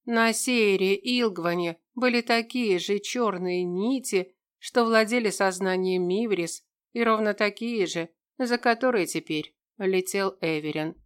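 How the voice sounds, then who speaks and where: A woman's voice: mid-range voice, measured pace, hardly any pauses, smooth. A woman reading a scripted first-person fantasy story as an audiobook narrator.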